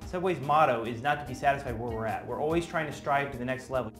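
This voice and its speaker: Fast male talker, middle pitch, testimonial, faint background music. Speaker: franchise owner. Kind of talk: interview testimonial.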